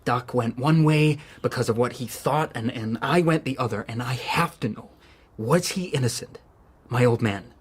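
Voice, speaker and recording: A man acting as an intense young student, hunted and grieving. He speaks haltingly, tripping over repeated words, in radio drama style, with some background noise. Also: mid-range voice, close to an everyday voice. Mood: earnest, wounded. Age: young adult. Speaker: actor.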